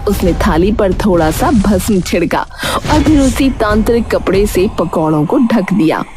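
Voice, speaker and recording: A woman's voice: mid-range voice, expressive, measured pace. A woman narrating a cartoon moral tale as a storyteller, in a scripted style. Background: music.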